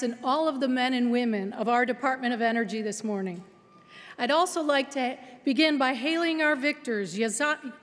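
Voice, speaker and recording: A woman's voice: sits high, a bit bright. A middle-aged woman giving a formal keynote address at a lectern, congratulating students with emphatic delivery. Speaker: keynote speaker. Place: large auditorium stage at a lectern. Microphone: lectern microphone. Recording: long auditorium reverb.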